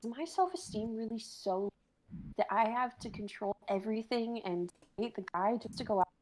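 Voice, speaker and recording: A woman's voice: fairly high pitch, moderate pace, darkish tone, lightly acted, rough recording. A woman playing a conflicted, guilt-ridden young woman on a date, in a conversational comedy sketch reading. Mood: distressed, guilty. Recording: faint noise, amateur livestream audio.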